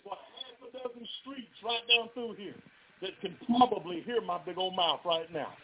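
A Southern-accented man preaching a fervent, folksy revival sermon to a live congregation, calling out to the crowd. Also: very high voice. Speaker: pastor. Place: revival tent near a residential street. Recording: tinny phone-line live stream.

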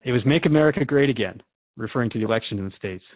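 An earnest radio interviewer played by a man questions his guest in a radio drama. He sounds conversational and a little unsettled, hesitating as he searches for answers. His mid-pitched voice comes through dull and lo-fi. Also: very fast, lightly acted. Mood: earnest, curious.

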